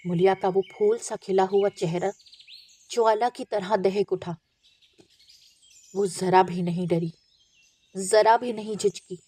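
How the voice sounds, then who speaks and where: Husky, unhurried woman narrating a story. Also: medium pitch, steady tone, mellow. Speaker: audiobook narrator. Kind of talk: expressive literary story reading.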